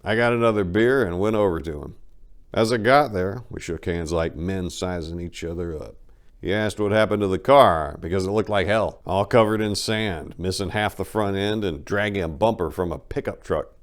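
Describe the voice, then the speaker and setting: A low-pitched male narrator delivers a first-person story as a dramatic reading. He leans hard on some phrases and lets others fall quieter, in the manner of an audiobook performance. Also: normal speed.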